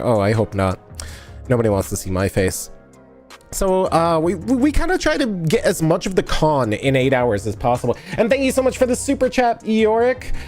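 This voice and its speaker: A man's voice: mid-range voice, darkish tone. A man streaming live, still sniffly from a cold, chatting in a rambling way and answering viewers' comments as he goes. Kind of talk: casual live-stream chat while editing.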